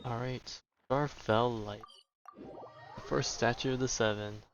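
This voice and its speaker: A man's voice: medium pitch, steady tone, a little slow, muffled recording, slight background noise. A man giving casual, offhand gameplay commentary with a wry manner, as a streamer does.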